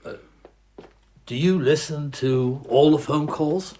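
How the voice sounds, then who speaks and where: A man's voice: on the high side, slow, warm tone, a little hiss or hum. An actor playing a wry, observant French police chief inspector who recounts his case conversationally in a radio drama. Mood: curious, hesitant. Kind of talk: radio drama acting with first-person narration.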